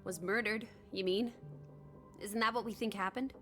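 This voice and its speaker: A woman's voice: mid-range voice, fairly even intonation, normal speed, over music, lightly acted. An actress playing a bold, impatient young female companion in a video game, blunt and wry, coaxing and teasing. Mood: blunt, challenging. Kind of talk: naturalistic video game voice acting.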